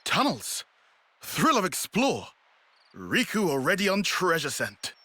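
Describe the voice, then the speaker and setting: A man voicing a small, excitable, treasure-loving game creature that talks in clipped, broken grammar. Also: high voice, a little slow, very noisy, full character voice. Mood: gleeful. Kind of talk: video game cutscene acting.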